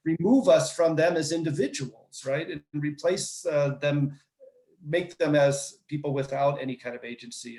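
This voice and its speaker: A man moderating a question-and-answer session over a video call, thinking aloud and trailing off softly at the ends of his remarks. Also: on the high side. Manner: thinking aloud, trails off with soft tags like "I guess". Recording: roomy video call audio.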